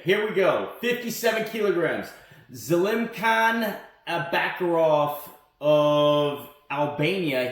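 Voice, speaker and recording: A man's voice: mid-range voice, recorded from a distance. A man born in South Korea and raised in the United States, hosting his own wrestling channel. He gives opinionated solo commentary, blunt and defiant, with plenty of "um" and "you know". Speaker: wrestling channel host and coach. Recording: echoey room, voice off-mic.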